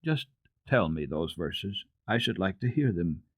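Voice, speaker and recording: A man voicing a sad, gentle, thoughtful gentleman doctor in a grave, kindly manner for a storybook reading. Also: sits low, very animated, unhurried, slightly rough, no room sound, clean studio sound, heavily acted. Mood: gently curious.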